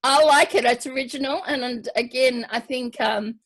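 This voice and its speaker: A woman hosting a casual group video chat, bantering playfully with a husky voice. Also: high-pitched, normal speed, fairly bright tone. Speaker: host of an online variety show. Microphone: video call. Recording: video call audio.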